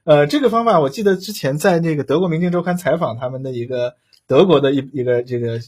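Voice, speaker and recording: Raspy-edged man chatting on a panel. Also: on the high side, a little mellow. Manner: halting, repetitive, searching for words. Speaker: talk show panelist. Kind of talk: casual livestream discussion.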